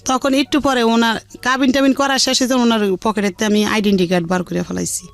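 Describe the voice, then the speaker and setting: A woman's voice: a little high, a little fast, gravelly, dry recording. A middle-aged woman with a colloquial Dhaka Bengali accent tells her own life story in an earthy, unpolished way, acting out what people said. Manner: earthy, unpolished, animated retelling with reported dialogue. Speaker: radio show guest telling her life story. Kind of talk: candid personal storytelling in conversation. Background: background music.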